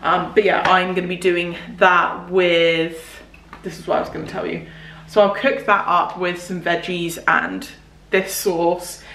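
A woman's voice: fairly low pitch, sharp tone, slight background noise. A young woman, chatting casually to camera at home with lots of fillers. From South West England. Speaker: vlogger. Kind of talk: casual grocery haul chat. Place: home living room, indoors. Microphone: camera mic at seated distance. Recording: echoey room.

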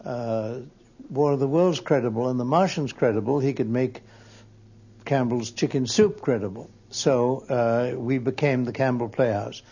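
A man recounts anecdotes in an interview with a creaky, dark-toned voice. He pauses and searches for words, and the audio is slightly muffled. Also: mid-range voice.

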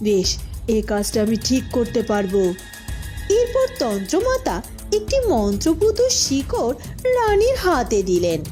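A woman doing expressive, dramatic storytelling and character lines for a fairy-tale cartoon. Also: a little high, unhurried, soft background music.

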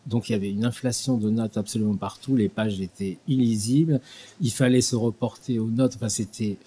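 Older guy talking at a desk mic, dark husky low voice, lots of restarts and euhs. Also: fairly even intonation, fairly quick. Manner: hesitant, many restarts and fillers. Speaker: guest lecturer. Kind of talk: unscripted talk. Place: small studio with dark purple backdrop. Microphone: desk mic on stand.

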